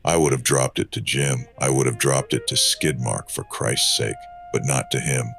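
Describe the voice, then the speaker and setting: An actor playing a grim, battered ex-wrestler in a hardboiled noir audio drama, speaking in a terse, menacing way. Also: male voice, a bass voice, fairly even intonation, normal speed, gravelly, a bit bright, soft background music, full character voice. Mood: bitter, icy.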